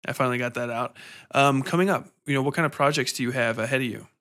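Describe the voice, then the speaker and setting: A man's voice: medium pitch, fast talker, bright, forceful tone. A man in his thirties hosting a podcast interview in a casual, rambling way, telling anecdotes informally. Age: 30s.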